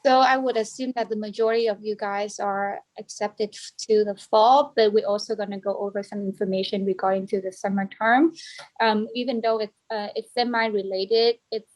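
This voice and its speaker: A woman's voice: medium pitch, fairly even intonation, darkish tone, low-quality recording. A woman working as a student services specialist explains enrollment steps to new students over a video call. She often stops and restarts as she explains. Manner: halting explanations with frequent restarts. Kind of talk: online information session presentation.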